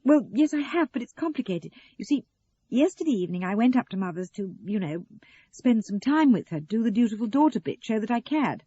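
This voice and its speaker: A woman's voice: a little high, big pitch swings, fairly quick, dark tone, slightly rough, highs cut off, voice pushed up. A woman voicing a flustered, guilty adult daughter in an audio story, hesitant and placating. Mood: confiding.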